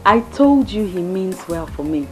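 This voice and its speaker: A woman's voice: mid-range voice, animated, moderate pace, over music, close to an everyday voice. A woman playing an earnest young daughter who reasons with her parents.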